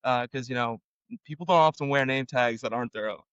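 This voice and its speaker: Smooth-voiced man telling a story. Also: mid-range voice, brisk, dry recording, dull audio. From Argentina. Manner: relaxed, self-deprecating, lots of fillers. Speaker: podcast guest. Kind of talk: casual storytelling.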